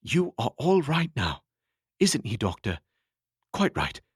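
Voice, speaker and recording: A man voicing a cheerful, excitable male friend at a sickbed, in a raised voice. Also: mid-range voice, slow, breathy edge, no room sound, studio quality, voice pushed up, full character voice.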